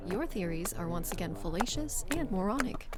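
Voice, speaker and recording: A woman's voice: medium pitch, animated, normal speed, lightly acted. A woman playing a haughty, irritable, suspicious adventurer with a disdainful manner in an audio drama. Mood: suspicious, scornful. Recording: loud music under the voice.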